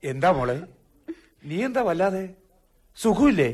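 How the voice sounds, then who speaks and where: A meek, worried father in a radio play. His voice is husky and moves a good deal in pitch, deferential and hesitant in its phrasing. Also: very animated, a little slow, a little mellow, exaggerated voice. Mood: concerned. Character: worried, meek visiting father, middle-aged. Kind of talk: radio drama acting.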